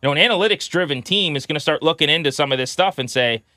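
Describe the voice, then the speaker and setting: A man's voice: fairly high pitch, animated, racing, bright, forceful tone, no echo, studio quality. A man co-hosting a sports podcast, giving conversational baseball analysis in a methodical, explanatory way.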